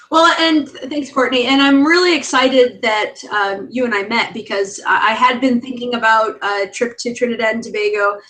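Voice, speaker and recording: A woman's voice: a little high, pushed and bright, low-quality recording. A woman who founded a tour company, presenting slides informally with many ums and restarts and laughing easily.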